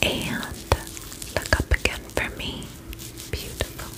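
A woman speaks entirely in a soft, breathy whisper. Her delivery is very slow and gentle, with soothing little repetitions. It sounds like an ASMR spa roleplay, full of personal attention and quiet reassurance.

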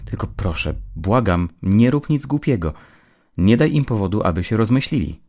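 A man voicing an eager, earnest teenage girl, a caring sister who talks persuasively, in a dark audio story. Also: fairly low pitch, very animated, normal speed, voice pushed up. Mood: pleading. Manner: pleading, keen, persuasive.

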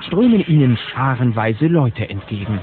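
A man narrating a children's cartoon audio play as an animated storyteller. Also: mid-range voice, normal speed, lightly acted. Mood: lively narration. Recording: muffled narrowband cassette, music behind.

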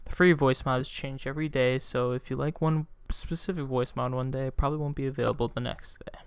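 A young man casually narrating a software tutorial as its host, close on a desktop microphone. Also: mid-range voice, fairly even intonation, a little fast, clear, resonant tone, dry recording. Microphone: close USB desktop microphone.

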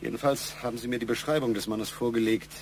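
A man's voice: mid-range voice, fairly even intonation, quick pace, lightly acted. Insistent middle-aged male boss, played by an actor, pointed and probing, radio crime drama, noisy background. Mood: probing, matter-of-fact. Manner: pointed, probing, matter-of-fact.

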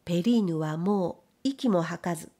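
A woman's voice: on the low side, moderate pace, warm tone. A woman reading literary narration as an audiobook reader.

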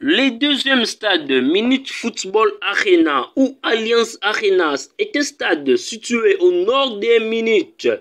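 A man narrating a voice-over as the channel's narrator, reading a numbered list slowly and carefully. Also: sits high, animated, fairly bright tone.

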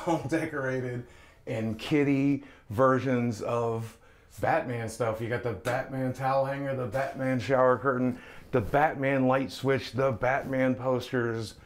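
A middle-aged man speaks casually to camera with music playing behind him. His voice sits at an ordinary male pitch. He comes across as candid and self-deprecating, like a video creator walking viewers through his home. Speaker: online video creator giving a house tour. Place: rooms of a house, indoors. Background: background music.

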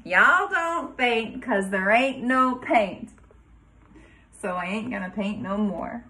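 A woman kindergarten teacher reading a rhyming picture book aloud to young children in a sing-song way, pausing dramatically before rhymes and asking questions. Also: a little high, lively intonation, bright, forceful tone, a little hiss or hum.

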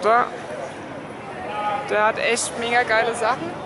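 A woman's voice: a little high, fairly even intonation, a little slow, background noise. A woman in her thirties filming herself at arm's length on a city street, chatting casually and cheerfully, with some slang. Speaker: travel vlogger. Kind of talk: casual vlog chat. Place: busy city street outdoors, later windy beach. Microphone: camera held at arm's length.

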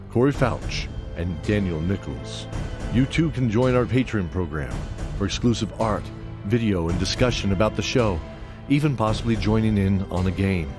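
A man reading scripted show announcements and sponsor messages as an audio drama narrator. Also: on the low side. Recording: music bed under voice. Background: music.

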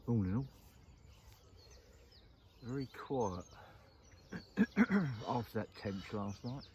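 A man's voice: lowish voice, takes it slowly, mellow. A middle-aged man chatting casually to camera by a lake, repeating little phrases. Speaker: angler vlogger. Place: outdoors by a lake at night and dawn. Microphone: clip-on lapel mic. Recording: lo-fi outdoor recording with clear background noise.

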